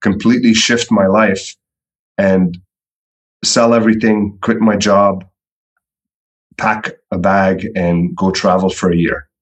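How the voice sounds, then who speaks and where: A middle-aged man from Belgium, a guest telling his story in a podcast interview, relaxed and repeating words often. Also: sits low, slow pace, pauses often. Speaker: entrepreneur guest.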